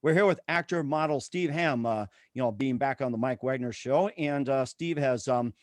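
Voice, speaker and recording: A man's voice: medium pitch, moderate pace, no echo, studio quality. A man hosting a show, reading plugs and introductions in a wordy way, sometimes stumbling over his words. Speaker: show host. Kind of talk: ad reads and interview hosting.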